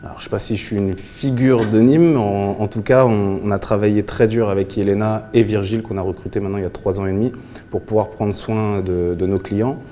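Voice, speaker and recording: A man's voice: on the low side, fairly even intonation, a little fast, mellow, slight background noise. A man in his thirties from the Paris suburbs, a shop founder answering interview questions earnestly, with frequent restarts and fillers. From Paris suburbs, France. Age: 30s. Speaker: clothing brand founder.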